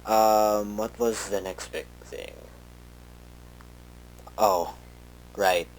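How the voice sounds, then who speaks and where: A man's voice: fairly low pitch, fairly even intonation, very slow, low-quality recording. A man playing a researcher recording an informal log tape, talking in a hesitant, conversational way and often interrupting himself. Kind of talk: in-character monologue for a fan horror audio log.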